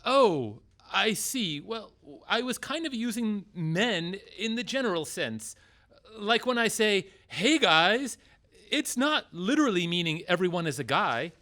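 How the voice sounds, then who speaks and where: Man playing a bright, high-voiced theatrical outlaw leader. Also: big pitch swings, a little slow, exaggerated voice. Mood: puzzled. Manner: theatrical, earnest. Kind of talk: radio-play comedy acting.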